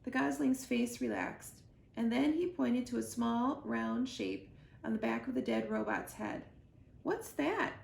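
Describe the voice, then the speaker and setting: A woman storyteller giving an expressive read-aloud of a children's book. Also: a little high, a little slow, vocal fry. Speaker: library storyteller. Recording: roomy echo, faint background noise.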